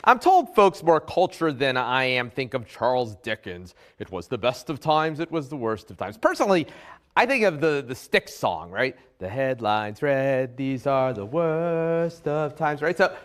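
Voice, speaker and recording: News guy with a bouncy animated voice, dry close sound, kinda wry. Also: mid-range voice, unhurried, smooth, no echo. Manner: lively, wry and playful. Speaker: news reporter. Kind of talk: scripted news package narration.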